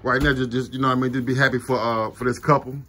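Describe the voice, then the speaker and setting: A quick-talking man runs a casual street interview, his pitch sitting in an ordinary middle range. He repeats phrases as he goes and keeps slipping into encouraging, preachy asides. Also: flat delivery, pushed and bright, husky. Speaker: street interviewer and channel host.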